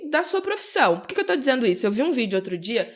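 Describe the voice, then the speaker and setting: Playful high-voiced podcast hostess. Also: female voice, big pitch swings, racing. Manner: playful, teasing, chatty. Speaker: podcast host. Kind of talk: casual interview podcast.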